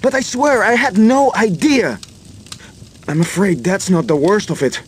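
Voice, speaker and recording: High-voiced remorseful inventor, game cutscene. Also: male voice, expressive, measured pace, a little hiss or hum. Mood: grave, urgent. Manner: earnest, apologetic. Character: remorseful male inventor who betrayed his friends. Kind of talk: video game cutscene acting.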